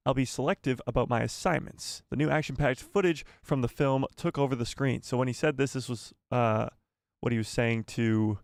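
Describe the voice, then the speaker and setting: A man's voice: medium pitch, vocal fry, no echo, clean studio sound. A man hosting a live fan news stream, reading news and adding rambling, self-correcting asides to his viewers. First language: not Spanish. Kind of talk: casual live news chat.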